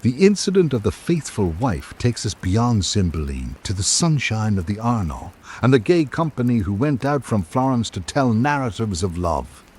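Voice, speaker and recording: Low-voiced man narrating with wide swings. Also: very animated, normal speed, hardly any pauses, darkish tone, husky. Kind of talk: literary scripted narration for radio drama.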